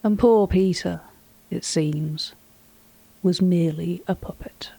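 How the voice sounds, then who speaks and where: A woman's voice: on the low side, slow pace, gravelly, warm tone, breathy edge, no echo, full character voice, rough recording. A woman playing an anxious, wordy narrator confiding strange events in an audio drama monologue. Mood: measured, ominous. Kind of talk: audio drama monologue, recorded-tape account.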